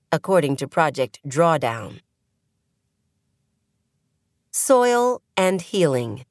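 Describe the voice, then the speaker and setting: A woman narrates an essay in a slightly low voice, recorded close and dry. Her delivery is measured and earnest, as a scripted reading. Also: no room sound. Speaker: audio essay reader.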